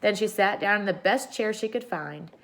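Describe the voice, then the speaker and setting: A woman reading a children's story aloud with expressive narration. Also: mid-range voice, normal speed, gravelly, poor audio. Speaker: storybook reader.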